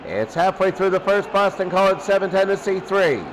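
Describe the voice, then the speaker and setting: High-pitched man calling football play-by-play. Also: expressive, moderate pace, slight background noise, poor audio. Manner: repeats phrases and draws out calls. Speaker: sports commentator. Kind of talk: excitable play-by-play commentary of a football game.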